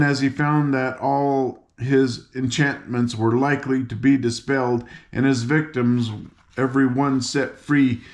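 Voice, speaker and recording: An older man with a mid-pitched voice narrates a storybook as if at home with listeners. He is informal and folksy, slipping into little asides and tripping over the odd word. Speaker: audiobook reader. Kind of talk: read-aloud storybook narration with chatty asides.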